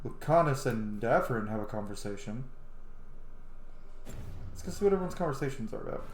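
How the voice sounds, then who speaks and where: A streamer giving casual, excitable and sweary commentary on a video game. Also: medium pitch, brisk, soft background music. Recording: roomy, echoey, noisy game stream.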